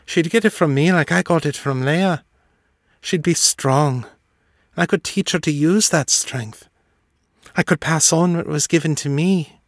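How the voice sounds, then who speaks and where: A man's voice: fairly high pitch, unhurried, no echo, clean studio sound, voice pushed up. A man voicing a hearty woman who drinks whiskey, wistful and folksy in her speech. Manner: hearty, slips into a folksy lilt.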